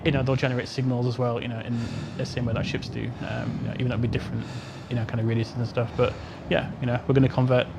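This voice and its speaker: A man's voice: mid-range voice, gravelly, background noise. A man explaining technical work casually as a developer on a panel, padding it with "you know". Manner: frequent "you know" asides.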